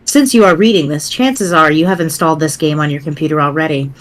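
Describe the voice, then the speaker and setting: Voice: medium pitch, fast, fairly bright tone, natural voice. A performer reading as a wry, grave teenage girl writing a doomsday walkthrough in formal, verbose diction for a webcomic dramatic reading. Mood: solemn, wry.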